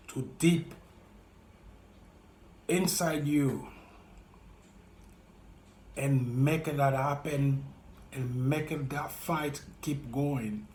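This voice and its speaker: A man with a French accent speaking earnestly and haltingly to camera as a YouTuber, repeating himself as he reaches out to viewers. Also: medium pitch, a little mellow, echoey room. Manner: slow, earnest, halting and repetitive. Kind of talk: heartfelt direct-to-camera talk.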